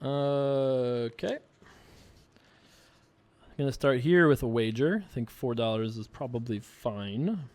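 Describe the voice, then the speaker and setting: Smooth-voiced American man chatting casually. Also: mid-range voice, expressive, slow, pauses often, darkish tone. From Long Island, New York, United States. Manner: rambling, conversational, frequent 'you know'. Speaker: poker video host answering viewer questions. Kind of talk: casual chat to viewers.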